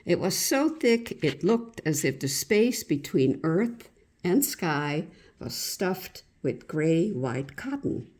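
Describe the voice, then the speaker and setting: A woman with a slightly low voice reads a reminiscence aloud as narration. She takes it very slowly and deliberately, with lots of rise and fall in her pitch. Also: darkish tone, slightly rough. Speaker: reader of a written local history account.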